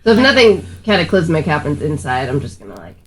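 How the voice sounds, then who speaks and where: A woman's voice: sits low, very animated, fast, slight background noise, poor audio. A woman playing a tabletop game with friends in casual, joking banter. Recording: group chatter behind the voice.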